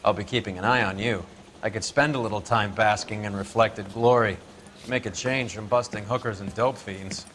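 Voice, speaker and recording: An actor playing a cynical, sneering American vice detective in a crime video game. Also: male voice, lowish voice, fairly even intonation, moderate pace, fairly bright tone, slight background noise, lightly acted.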